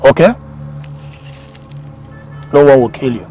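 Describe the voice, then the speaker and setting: A man's voice: mid-range voice, slow, lightly acted. A man playing a domineering gang leader in a film drama, with a Nigerian accent and an emphatic, commanding manner. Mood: menacing, smug. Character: domineering male gang leader sparing his captive. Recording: muffled film audio with faint music.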